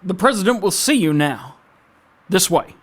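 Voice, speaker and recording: A man's voice: high voice, animated, moderate pace, a bit bright, husky, exaggerated voice. A man playing a formal, deferential, anxious presidential aide in an audio drama. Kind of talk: fan-made audio drama acting.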